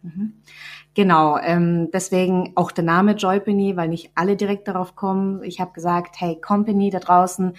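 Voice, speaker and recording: Lower-pitched Swabian woman chatting casually. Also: steady tone, moderate pace. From Swabia, southern Germany. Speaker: podcast guest, company founder. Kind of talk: casual conversational anecdotes.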